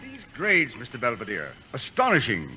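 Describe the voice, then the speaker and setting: Authoritative, reverberant university president. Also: male voice, mid-range voice, wildly swooping pitch, normal speed, bright, forceful tone, full character voice. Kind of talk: 1940s radio drama acting. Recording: reverberant old radio recording with music.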